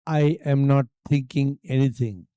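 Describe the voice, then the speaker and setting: Very mellow, slow Indian man guiding meditation. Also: medium pitch, clean tone, no echo, studio quality. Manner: calm, hushed, deliberate phrasing with long pauses. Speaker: meditation teacher leading a retreat session. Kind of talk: guided meditation.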